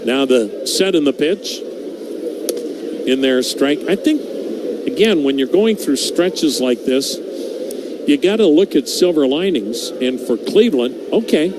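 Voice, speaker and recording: A man's voice: on the high side, expressive, a little slow, background noise. A man doing live baseball play-by-play commentary as a radio announcer.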